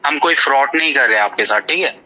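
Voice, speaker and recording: A man's voice: mid-range voice, lively intonation, fast, pushed and bright. A brazen, dismissive man posing as a customer care manager in a confrontational phone call.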